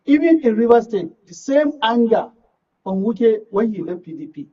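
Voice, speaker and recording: A Nigerian man argues as a guest commentator in a panel discussion, stressing and repeating his phrases. His voice is very high for a man and rough. The recording is dull and a bit distant. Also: big pitch swings, moderate pace, dark tone. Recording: dull audio, away from the mic.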